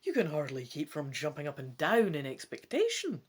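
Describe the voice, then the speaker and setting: A Scottish woman hosting a gamebook play-through, mixing expressive, theatrical storybook reading with casual commentary. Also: sits low, normal speed, slightly rough. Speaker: gamebook let's-play host.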